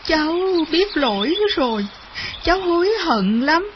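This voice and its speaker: A woman's voice: a little high, lively intonation, slow pace, lo-fi sound, noisy background. A woman voicing a young boy in a children's tale, pleading and tearful with remorse. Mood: earnest pleading.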